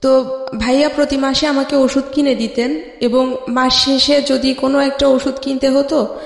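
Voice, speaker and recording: High-pitched, halting storyteller in echoey hall. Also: flat delivery, gravelly, faint music behind. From Bangladesh. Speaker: interview guest telling life story. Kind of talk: personal life-story narration. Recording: echoey hall with long reverb.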